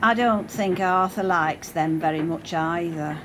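An actress playing a homely, rambling middle-aged wife chatting in a radio play. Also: female voice, fairly low pitch, measured pace, lightly acted. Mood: curious. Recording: clear train noise behind.

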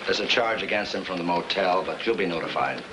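Procedural American cop, rapid and slightly low, businesslike, heavily noisy 1950s film. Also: male voice, lively intonation. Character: businesslike police officer handling a release. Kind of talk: 1950s film drama acting. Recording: noisy old film soundtrack.

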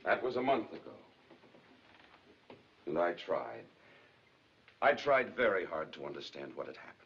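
Hurt, reserved husband, deep voice, polite and halting, dull old movie sound. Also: male voice, big pitch swings, vocal fry, fairly bright tone, husky, full character voice. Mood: pained. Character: troubled, hurt married father, reserved and courteous. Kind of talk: 1950s film drama acting. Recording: dull, lo-fi 1950s film soundtrack.

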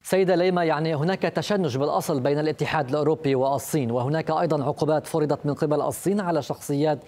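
A man's voice: fairly high pitch, very fast, a little mellow. A man in his thirties asking formal news interview questions as a TV presenter in a studio. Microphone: lapel.

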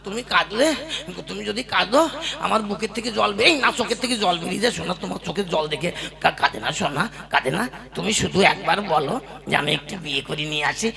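A man's voice: high-pitched, fast, vocal fry, a crowd in the background. A man playing an ageing, scheming troupe-master husband in a comic puppet play, coaxing with exaggerated sweet talk.

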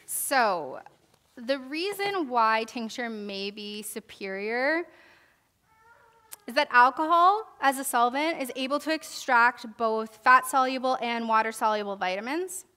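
A woman's voice: fairly high pitch, expressive, unhurried, bright, forceful tone. A young woman giving an informal herbalism lesson in a church hall, reading from notes and then demonstrating, with many fillers and restarts. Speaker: herbalism class presenter. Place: church hall stage, large indoor room.

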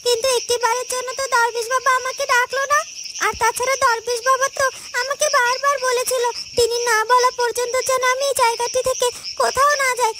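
A woman's voice: extremely high pitch, level tone, fairly quick. A woman voicing a timid, worried young girl in a fairytale cartoon, hesitating and repeating her words. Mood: hesitant, resolute. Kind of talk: cartoon dubbing. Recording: clear background noise, insect ambience.

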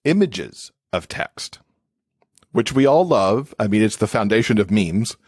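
Low-voiced man explaining in conversation. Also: big pitch swings, a little slow, a little mellow, breathy edge, dry recording, clean studio sound. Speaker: podcast guest, accessibility expert and author.

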